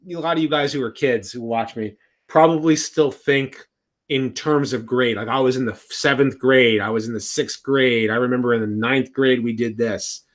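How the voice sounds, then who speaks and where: A man's voice: mid-range voice, a bit bright. Rambling man in his thirties. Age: 30s. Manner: rambling, restarts sentences. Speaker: live streamer. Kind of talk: casual live-stream chat.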